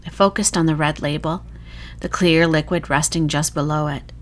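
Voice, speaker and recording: A woman reading a first-person horror short story in a dramatic, emotive, hushed storytelling manner. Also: low voice, fairly even intonation, normal speed.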